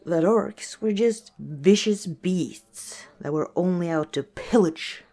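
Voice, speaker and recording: Female orc tavern owner, played by a woman: lower register, slow delivery, gentle and hesitant, intimate ASMR storytelling. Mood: rueful.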